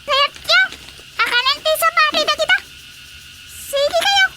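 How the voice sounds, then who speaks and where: This is an extremely high, insistent comic character in an Ilocano radio drama. The voice nags and urges in broad comedy style. The recording is very noisy, with animal sounds around it. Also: unhurried.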